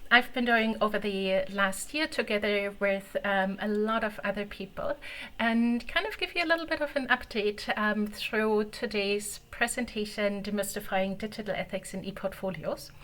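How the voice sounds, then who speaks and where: A woman's voice: medium pitch, fairly bright tone, poor audio. A woman from Germany with a German accent, giving an online conference presentation over a video call in a friendly, explanatory way with frequent fillers.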